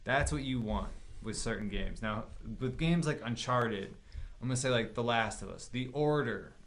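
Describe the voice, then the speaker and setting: A man's voice: mid-range voice, normal speed, a bit bright, a little hiss or hum. A man co-hosting a gaming podcast, chatting casually with blunt opinions and plenty of swearing. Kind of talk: casual chat about video games.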